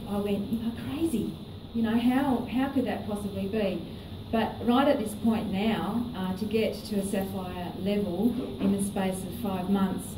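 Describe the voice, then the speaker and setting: A middle-aged woman telling her own story at a meeting, earnest and hesitant with many fillers. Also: medium pitch. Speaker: business presenter. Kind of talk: personal testimony at a meeting. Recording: echoey room, distant mic, noisy.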